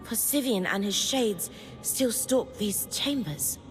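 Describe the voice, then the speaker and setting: An actress playing an earnest, curious historian in a video game, speaking in a measured, thoughtful way and giving ancient words gravity. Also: female voice, medium pitch, animated. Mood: solemn. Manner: measured, contemplative, reading aloud with gravity. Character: earnest, curious woman historian and outsider studying ancient inscriptions. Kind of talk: video game character dialogue. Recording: long hall reverb, music behind.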